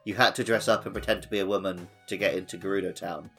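A man with a fairly low voice co-hosts a casual gaming podcast. His delivery is chatty and joking. Quiet music plays behind him. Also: steady tone, quick pace. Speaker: podcast co-host. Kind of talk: casual video game discussion.

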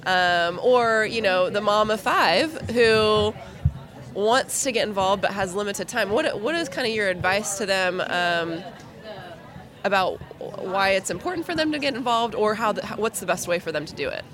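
A woman's voice: medium pitch, expressive, moderate pace, crowd noise. A woman hosting an interview, asking questions and affirming her guest in a friendly, thoughtful way.

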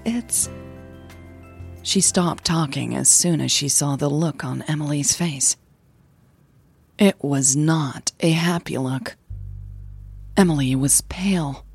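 A woman narrating a scripted romantic audio drama in a soft, measured storytelling manner. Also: low voice, creaky, slightly rough, no echo. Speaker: narrator and podcast host.

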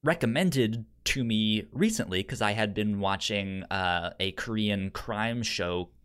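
A man with a pronounced stutter, speaking haltingly and casually as a podcast co-host pitching shows. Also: fairly bright tone, clean tone. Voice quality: pronounced stutter with repeated sounds and syllables.

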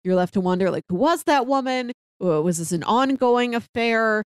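A woman's voice: a little high, lively intonation, moderate pace, smooth, no echo, clean studio sound. A woman co-hosting a podcast in casual, conversational discussion. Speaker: podcast co-host reviewing a TV series.

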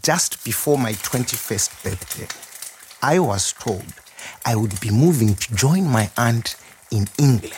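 An actor playing a proud, warm restaurant owner reminiscing in a theatrical storytelling monologue. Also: male voice, mid-range voice, measured pace, a little hiss or hum, lightly acted. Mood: amused, nostalgic. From Zimbabwe. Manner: animated, chuckling, theatrical storyteller. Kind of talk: dramatised monologue, storytelling to a customer.

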